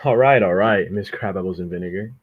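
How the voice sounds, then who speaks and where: A man's voice: sits low, brisk, slightly rough, phone-quality sound. A man playing a chatty, self-important village postman and bell ringer in a radio drama, talking in a folksy, boastful way. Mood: teasing, mocking.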